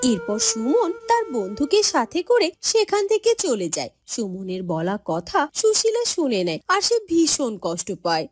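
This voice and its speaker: A woman's voice: sits high, wildly swooping pitch, unhurried, few pauses, slightly rough, no room sound, faint music behind, exaggerated voice. A woman narrator telling a scripted fairy tale.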